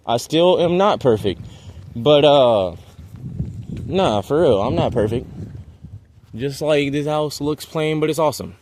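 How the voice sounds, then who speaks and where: A man's voice: medium pitch, darkish tone, slight background noise. A man, rambling restlessly in a vlog while out in a residential neighborhood, often trailing off. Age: 20s. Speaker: vlogger.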